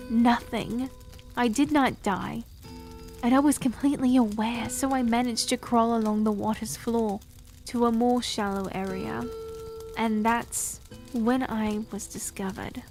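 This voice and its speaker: A woman voicing a cursed young queen who speaks in a slow, formal, solemn way in a fantasy audio drama. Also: fairly high pitch, darkish tone.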